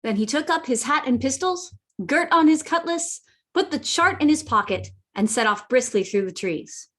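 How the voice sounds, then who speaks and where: A woman's voice: sits high, expressive, normal speed, fairly bright tone. Woman as plucky young Jim, telling his adventure. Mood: earnest storytelling. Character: earnest, plucky boy adventurer Jim Hawkins. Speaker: narrator and lead character. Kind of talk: dramatic scripted narration.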